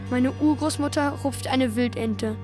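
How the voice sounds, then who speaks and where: Pious young boy: high voice, anxious, literary radio drama, music underneath. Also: measured pace. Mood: recalling, narrating. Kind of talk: literary radio play, narration and dialogue.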